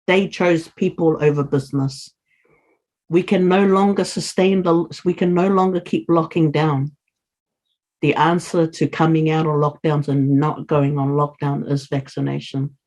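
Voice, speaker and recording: A woman's voice: low-pitched, steady tone, a little slow, a little mellow. A woman who speaks English as a second language, talking as a podcast guest in an earnest, encouraging way. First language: non-English first language. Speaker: podcast guest, community leader. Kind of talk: casual interview talk.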